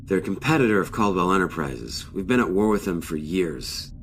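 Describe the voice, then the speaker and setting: A dry, exasperated spaceship crewmate played by a man speaks in a low voice. The audio drama delivery is matter-of-fact, over faint background noise. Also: lively intonation, brisk. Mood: explaining.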